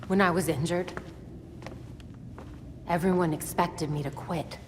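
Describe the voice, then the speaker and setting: An actress playing a determined young female vigilante in a video game cutscene, speaking in a steady, resolute way. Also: lowish voice, a little slow, in a large hall, a little hiss or hum, lightly acted. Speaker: superhero.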